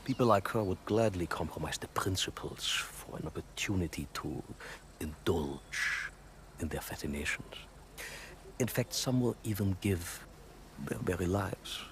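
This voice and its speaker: An actor playing a menacing, cruel German commander in a cinematic game, with a German accent and a theatrical, gloating manner. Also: male voice, low voice, animated, measured pace, fairly bright tone, slight background noise, full character voice. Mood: gloating menace.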